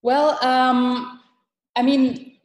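A woman from Thailand, a guest author speaking in a podcast interview, thoughtful and halting with many fillers and restarts. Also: sits high, little pitch movement, reverberant.

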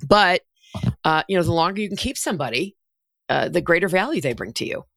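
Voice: medium pitch, lively intonation, a little fast, vocal fry, fairly bright tone, dry recording. A podcast host asking conversational questions and giving frequent small affirmations. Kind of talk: interview conversation.

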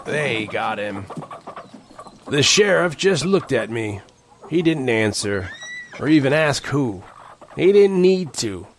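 A man's voice: medium pitch, big pitch swings, slow, pauses often, a little hiss or hum. An actor playing a haunted Old West man, solemnly recounting a horror tale in an audio drama. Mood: somber. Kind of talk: dramatic first-person audio drama narration. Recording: dramatic music under the voice.

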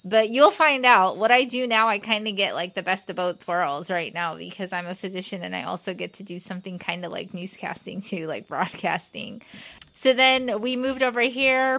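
A woman originally from Guyana, talking as a guest on a television talk show. She tells her story in a bright, chatty, upbeat way, easy and conversational. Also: mid-range voice. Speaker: guest physician. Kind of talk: casual talk show interview.

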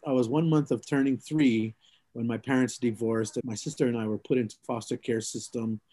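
A man's voice: mid-range voice, steady tone, brisk, warm tone, dry recording. Reflective man, dry close sound. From Hawaii. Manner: rambling, reflective, many fillers and restarts. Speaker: interviewee. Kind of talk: oral history interview.

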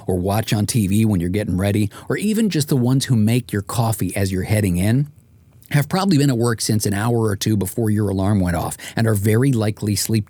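A man's voice: lowish voice, dark tone, no echo. A middle-aged man reading an audiobook in the first person, with wry, dramatic comic emphasis. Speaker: author, podcast host and morning radio presenter.

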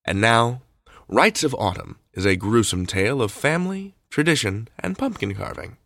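Podcast host guy, lots of vocal fry, dry close mic, chatty intro. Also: medium pitch, expressive, normal speed, fairly bright tone, no echo.

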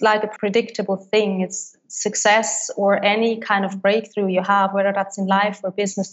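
Interview guest: woman, steady flat delivery, muffled lo-fi capture. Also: medium pitch. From Switzerland. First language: Swiss German. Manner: fluent, many likes and restarts. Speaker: business coach, interview guest. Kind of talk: casual interview answers.

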